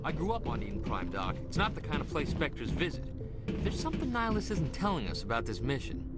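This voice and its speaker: A man acting an eager, restless young marine corporal in a video game, excitable and earnest. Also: medium pitch, fast talker. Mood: wary. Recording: loud music behind the voice. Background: music.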